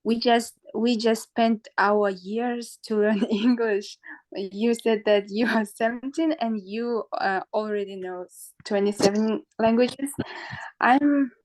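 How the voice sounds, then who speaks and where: A woman's voice: on the high side, fairly even intonation, takes it slowly, darkish tone, low-quality recording. A woman student on a video call, asking questions hesitantly and joking at her own expense. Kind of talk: casual conversation.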